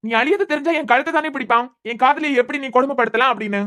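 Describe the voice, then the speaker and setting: A woman's voice: high-pitched, very fast, fairly bright tone. A woman voicing a bitter, hurt young husband, sarcastic and repeating words in anger. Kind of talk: amateur audio drama.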